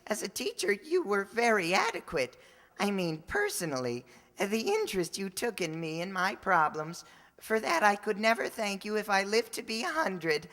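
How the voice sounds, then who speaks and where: A woman playing an earnest, tactless teenage high school boy in old-time radio comedy style, gushing and naive. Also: very animated, moderate pace, a bit bright. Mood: earnest, grateful. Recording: reverberant hall recording.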